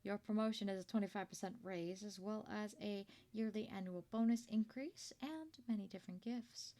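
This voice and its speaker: A woman playing a kindly, proud older company founder in an ASMR roleplay, chatty and doting, with a creaky voice. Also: medium pitch, normal speed, close to an everyday voice. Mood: pleased, businesslike. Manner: gentle, chatty, doting, with throat-clearing and rambling asides. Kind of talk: ASMR roleplay monologue to the listener.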